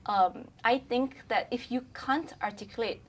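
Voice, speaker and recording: A woman's voice: a little high, moderate pace. A young woman whose first language is Mandarin Chinese, talking as a podcast guest in a chatty, articulate way, with fillers like "um" and "like". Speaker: brand and communications lead, podcast guest. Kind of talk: casual interview chat.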